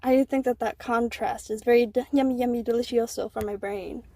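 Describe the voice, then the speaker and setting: A woman with a high, mellow voice records an earnest message for a show. She explains her thoughts like prepared commentary, in a very dry sound with no room on it. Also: fairly even intonation, brisk, dry recording. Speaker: listener sending a voice message.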